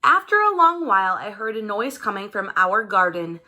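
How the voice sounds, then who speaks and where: Animated, bright-voiced woman reading a storybook. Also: medium pitch, normal speed. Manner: animated, dramatized delivery with character voices. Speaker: storybook reader. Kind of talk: expressive children's story read-aloud.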